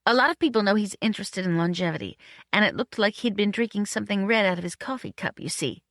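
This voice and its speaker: Woman playing high, hesitant, girlish film actress. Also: fast talker, a bit bright, no echo, clean studio sound, natural voice. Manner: hesitant, stammering, old-fashioned slangy. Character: bubbly, anxious young film actress, girlish and protective.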